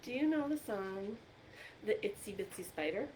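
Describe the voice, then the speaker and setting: A woman leading an interactive storytime for young children as a librarian, encouraging them with questions and pauses for answers, recorded on a computer microphone. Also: mid-range voice, expressive, a little mellow, a little hiss or hum, poor audio. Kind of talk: interactive read-aloud and singing for young children.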